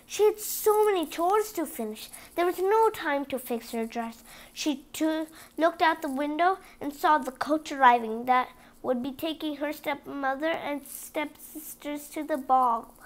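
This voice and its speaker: A woman's voice: high-pitched, a little slow. A woman reading a storybook aloud for children, expressively, with chatty asides to her young listeners. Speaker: storybook reader.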